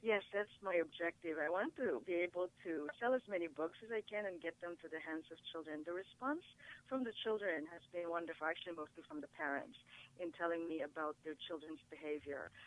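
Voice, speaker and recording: A woman's voice: on the low side, a little fast, low-quality recording. A woman from the Philippines whose first language is Tagalog, talking eagerly as a guest author in a TV studio interview. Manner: upbeat, eager explaining. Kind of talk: casual interview talk.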